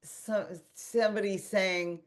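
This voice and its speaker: A woman's voice: mid-range voice, fairly even intonation, slow pace. A woman hosting a webinar conversation, telling a story animatedly with restarts and quoted asides, over a video call. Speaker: host and company founder.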